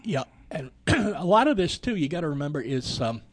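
A man in a casual radio discussion, explaining things in a measured, somewhat hesitant way as a panelist. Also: a little high, very animated, no room sound.

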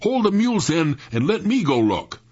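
A man voicing a take-charge but rattled country mule driver in a spooky story, with a rural Southern US accent and a sharp, urgent, folksy delivery. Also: a little high, wildly swooping pitch, normal speed, husky, no echo, highs cut off, pitched above the performer's own voice, full character voice. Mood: sharp, angry. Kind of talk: dramatic storytelling.

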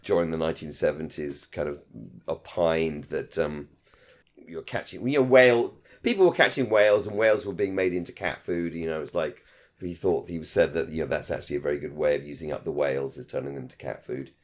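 Low-pitched man, rambling podcast chat. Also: fairly quick. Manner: rambling, repeats phrases for emphasis. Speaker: podcast co-host. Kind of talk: casual discussion.

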